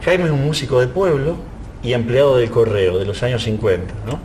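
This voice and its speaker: A man's voice: brisk, crowd noise. A man explaining things reflectively in an interview, searching for words and adding little tag questions. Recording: low rumbling background noise.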